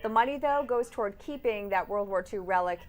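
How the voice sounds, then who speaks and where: A woman's voice: mid-range voice, animated, fairly quick. A woman anchoring television news, mixing presentation with casual banter.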